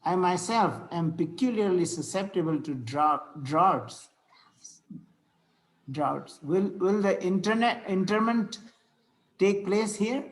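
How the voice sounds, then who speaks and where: A man reading the part of a pious, pedantic, gentle clergyman in an amateur table read, formal and a little halting. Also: high-pitched, expressive, exaggerated voice, low-quality recording. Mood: solemn sympathy.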